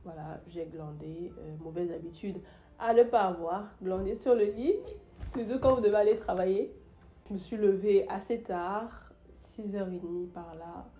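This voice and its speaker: A woman's voice: medium pitch, moderate pace, warm tone. Chatty young woman with a flu voice. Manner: chatty, with many fillers and restarts. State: sick with the flu. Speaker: YouTuber. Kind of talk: casual storytime vlog.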